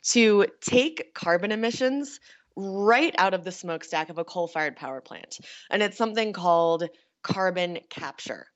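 Lowish woman reporter, slightly dull sound. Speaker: energy reporter. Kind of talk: explanatory news interview.

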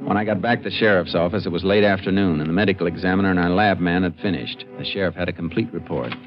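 An actor as a stern lawman narrating and starring in an old radio western. His voice is very low, measured and authoritative, with an American accent. The recording is dull and lo-fi. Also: soft background music, lightly acted. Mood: grave, matter-of-fact. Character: stern, level-headed male Texas Ranger investigator. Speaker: lead character and narrator. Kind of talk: 1950s radio drama narration and acting.

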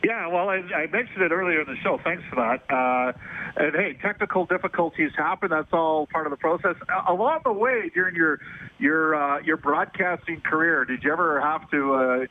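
A man's voice: on the high side, normal speed. A man hosting a radio interview, asking long, rambling questions. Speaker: radio show host. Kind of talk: casual interview over the phone. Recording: tinny phone line, dry.

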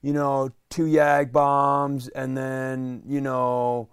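A man's voice: mid-range voice, completely flat delivery, drawn-out words, clear, resonant tone, dry recording, clean studio sound. A man co-hosting a podcast, rambling through stories and opinions in a wry, casual way. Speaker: podcast co-host. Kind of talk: casual comic chat.

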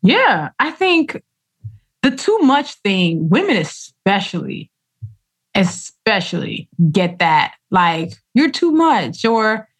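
A woman's voice: medium pitch, slow pace, husky. A woman author in a casual interview, speaking expressively, acting out quoted voices and using slang. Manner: expressive storyteller who acts out quoted voices, uses slang. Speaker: author guest.

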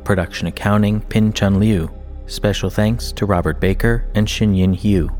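A man's voice: fairly low pitch, darkish tone. A man working as an announcer, giving a scripted read of production credits. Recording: music behind the voice. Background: music.

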